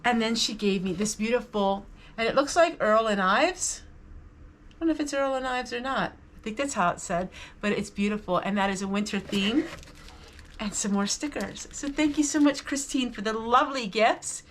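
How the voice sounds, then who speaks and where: High-voiced woman chatting enthusiastically. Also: animated, moderate pace, a little hiss or hum. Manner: enthusiastic, appreciative. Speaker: vlog host. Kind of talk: casual haul chat to viewers.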